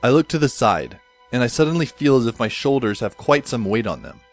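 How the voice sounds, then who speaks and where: A man's voice: medium pitch, big pitch swings, fairly quick, faint music behind. A man playing a bewildered, wry teenage schoolboy who narrates an audio drama in a wordy, dramatic way. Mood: dazed, wry. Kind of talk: scripted first-person audio drama narration.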